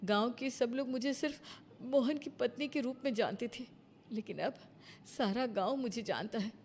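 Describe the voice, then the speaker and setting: A woman's voice: on the high side, normal speed, darkish tone, a little hiss or hum, pitched above the performer's own voice, lightly acted. A woman voicing an earnest village housewife, full of wonder at her independence, in a cartoon moral story. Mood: amazed, hopeful.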